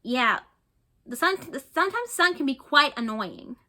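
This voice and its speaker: Bright high-voiced woman reading a children's story aloud. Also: very animated, normal speed. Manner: chatty, warm asides to young listeners, often repeating herself. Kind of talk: children's read-aloud with questions to listeners.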